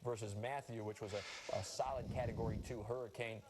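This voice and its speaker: A man's voice: lowish voice, fairly even intonation, fast talker. Man presenting TV weather, voices behind. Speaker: TV weather meteorologist. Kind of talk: live forecast presentation. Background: control room chatter.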